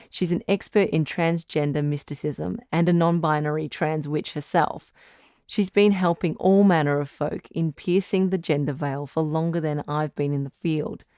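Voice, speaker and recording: Actress as woman radio doctor, low voice, dry close sound, calm, measured, reassuring, audio drama. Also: no echo. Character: calm, reassuring woman doctor hosting a supernatural advice show. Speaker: radio call-in advice show host. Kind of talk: scripted audio drama as radio call-in host.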